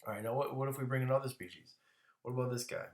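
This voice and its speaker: A man's voice: mid-range voice, fairly even intonation, rapid-fire, roomy sound. A man teaching a classroom lecture in a casual way, often restarting words and coughing now and then.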